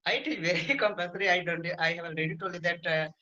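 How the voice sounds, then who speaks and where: A young man with an Indian English accent speaks hesitantly, his phrasing halting and shy. His voice is bright and fairly high, on slightly muffled, dull-sounding audio. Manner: shy, hesitant, nervous laughter, halting speech. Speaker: English learner.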